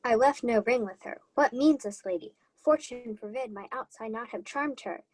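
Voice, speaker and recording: A young woman playing an earnest, slightly halting heroine disguised as a man in a Shakespeare radio play. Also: fairly high pitch, a little mellow, natural voice. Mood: puzzled, defensive. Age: young adult.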